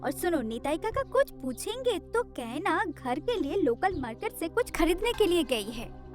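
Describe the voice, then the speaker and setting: Bold, self-assured girl sleuth for a cartoon played by a woman, very high, animated and commanding. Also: a little slow, soft background music, full character voice. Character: bold young girl detective, decisive and confident. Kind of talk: Hindi cartoon story voice acting.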